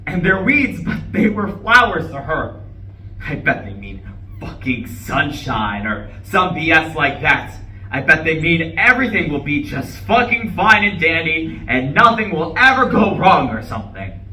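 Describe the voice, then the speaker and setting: Echoey stage reading: male actor as irritable, heartbroken divorcé, forceful and highly animated. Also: measured pace, full character voice. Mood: bitter. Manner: faltering, sighing, swinging into bitter outbursts. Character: distraught, irritable, newly divorced man at a bus stop. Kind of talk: live stage play reading. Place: indoor room at a live reading. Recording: echoey room, distant mic, faint noise.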